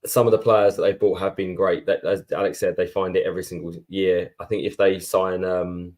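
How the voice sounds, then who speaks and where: A man's voice: low voice, level tone, a little fast, a little mellow. A man co-hosting a podcast, talking in a chatty, blunt and matey way. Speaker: podcast co-host. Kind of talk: casual chat.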